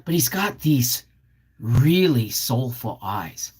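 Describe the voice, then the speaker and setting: A woman's voice: rumbling low, very animated, slow, darkish tone, breathy edge. A middle-aged woman chatting casually on a live stream, rambling and answering viewer comments as she goes. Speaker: homestead channel host.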